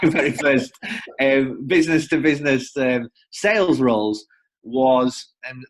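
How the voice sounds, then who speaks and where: A young man from the north of England with a northern English accent, chatting as a podcast guest from home over a remote call, friendly and a little self-deprecating. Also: medium pitch. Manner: chatty, self-deprecating, repeats words as he thinks. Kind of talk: casual podcast chat.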